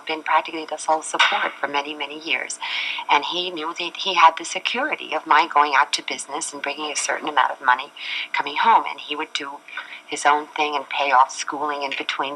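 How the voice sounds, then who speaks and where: A woman's voice: sits low, fairly even intonation, fairly quick, bright, forceful tone, a little hiss or hum, rough recording. A woman in her forties from Chile, a talk show guest speaking earnestly and at length about her own life, recorded on an old TV studio set. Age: 40s. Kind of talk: candid personal talk.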